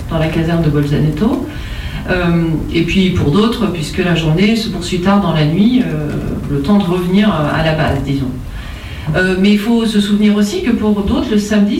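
A witness giving a spoken account of events to listeners in a measured, halting, explanatory way. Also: medium pitch, a little hiss or hum. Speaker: activist witness recounting events. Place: echoey room at a public colloquium. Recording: roomy, distant mic, loud music behind. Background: loud music.

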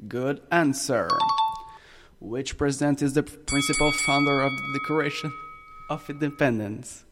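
A man's voice: medium pitch, measured pace. Teen boy, quiz host, long room reverb, music playing behind. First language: French. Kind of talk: school radio role-play quiz.

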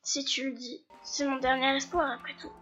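Schoolgirl acting a very high-voiced timid bullied girl. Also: flat delivery, normal speed, fairly bright tone, faint music behind. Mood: reluctant. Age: child, early teens. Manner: hesitant. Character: timid bullied sixteen-year-old bookish girl. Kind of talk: school audio drama. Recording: dull lo-fi school recording.

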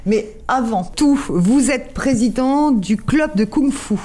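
A woman's voice: sits high, expressive, slow pace, mellow. A woman co-hosting a local radio interview, asking questions with frequent hesitant fillers. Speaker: radio show co-host.

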